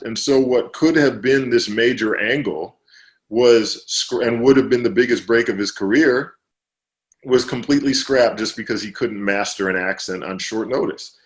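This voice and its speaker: A man being interviewed as an author, explaining at length in relaxed conversation, with fillers and restarts. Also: mid-range voice, normal speed.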